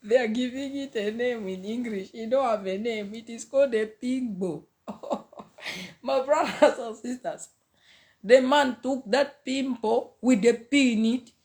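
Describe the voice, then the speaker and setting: A woman in her thirties from Zambia with a Zambian accent, talking in a lively, casual preachy way to a phone camera in a small room, often laughing and mixing in pidgin phrases. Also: a little high. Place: small indoor room, seated on a sofa. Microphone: phone camera at arm's length.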